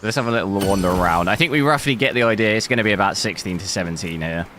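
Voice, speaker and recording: A man streaming a video game live, talking casually through the settings, with a hoarse voice he says he is losing. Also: lowish voice, very fast. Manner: thinks aloud casually, bursts into loud dismayed cries. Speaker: gaming streamer. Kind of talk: live gameplay commentary. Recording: noticeable background noise.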